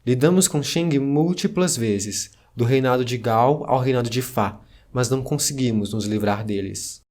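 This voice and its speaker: A solemn ancient survivor of a fallen dynasty, acted by a man, recounts old lore in a mid-pitched voice. The delivery is formal, scripted audio-drama storytelling, grave and measured in tone. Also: darkish tone, natural voice.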